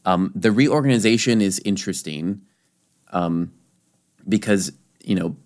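A man's voice: low-pitched, measured pace, darkish tone. A man co-hosting a casual discussion podcast, rambling with many restarts and fillers. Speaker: podcast co-host.

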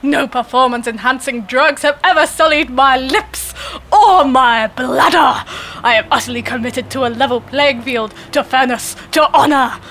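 A woman playing a pompous, jolly, oblivious older British gentleman, a former sportsmaster, with theatrical old-fashioned bluster in a comedy sketch. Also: moderate pace, a little hiss or hum. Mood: earnest, excited. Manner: booming bluster, old-fashioned posh exclamations.

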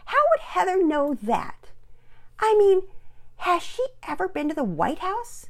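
A woman's voice: medium pitch, very animated, normal speed, a little mellow, voice pushed up. A woman voicing a sulky, edgy young girl in an audiobook story, with a whiny, defensive manner. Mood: snotty, defensive.

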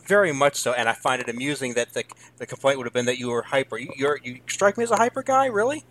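A man in his thirties hosting a casual interview, speaking haltingly as he searches for words. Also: medium pitch, expressive, a bit bright, no room sound, rough recording. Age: 30s. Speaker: interview host.

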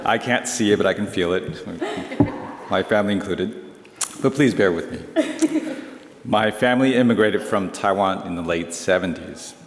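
A man's voice: on the low side, lively intonation, moderate pace, slight background noise. Playful teacherly man in a reverberant hall. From United States. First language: Chinese. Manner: playful, teacherly, checks in with listeners. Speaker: congregation speaker. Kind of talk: humorous talk on language. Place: large church hall.

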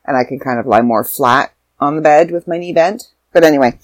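A young woman vlogging casually from home, rambling and sidetracking, a little stuffy and hoarse with a sore throat and tired. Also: sits low, fairly quick, dry recording. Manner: rambling, self-interrupting. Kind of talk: casual chat to camera.

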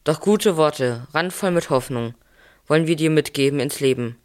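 Higher-voiced man playing humble Joseph. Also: normal speed, natural voice. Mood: relieved, tender. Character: Joseph, poor, humble, caring new father. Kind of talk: scripted nativity radio play monologue.

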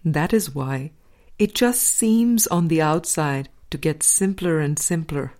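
Low, slow, mellow woman narrator. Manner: measured, deliberate phrasing with pauses. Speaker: audiobook reader. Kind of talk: scripted spiritual audiobook narration.

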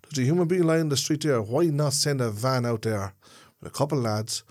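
A man's voice: mid-range voice, brisk, a little mellow. A man with a Dublin Irish accent, co-hosting a podcast, telling stories in a rambling, casual way and checking in with his listener. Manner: rambling storyteller, checks in with "yeah?". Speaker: podcast co-host. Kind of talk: casual chat.

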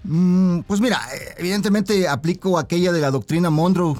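High-voiced Mexican man, a radio director. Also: normal speed, a little mellow, soft background music. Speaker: broadcasting director being interviewed. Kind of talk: conversational broadcast interview.

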